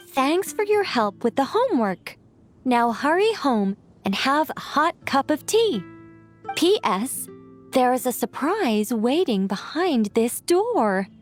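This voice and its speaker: A woman playing a whimsical young witch in a video game, self-assured and breezy, musing in a gently theatrical way. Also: high voice, full character voice. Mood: puzzled.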